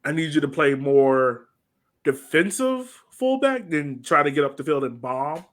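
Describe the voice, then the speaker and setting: A man's voice: on the high side, very animated, unhurried. An American man co-hosting a sports podcast, talking casually and conversationally with informal, slangy phrasing. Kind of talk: casual soccer match breakdown chat.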